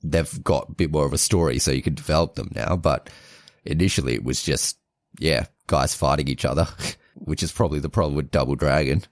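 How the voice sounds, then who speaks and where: A man co-hosting a podcast in casual chat, measured and thinking aloud as he gives his views. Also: very deep voice, dry recording. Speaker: podcast co-host.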